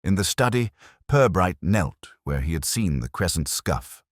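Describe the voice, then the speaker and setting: A man narrates a literary mystery. His voice is extremely deep, with a wide, animated melody, and the recording is very dry. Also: unhurried, no echo.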